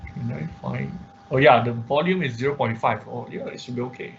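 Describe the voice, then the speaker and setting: A man instructing an online class through a live software demonstration, talking haltingly as he thinks aloud. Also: mid-range voice, gravelly. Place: indoors, online class at a computer. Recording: muffled online class audio with background noise.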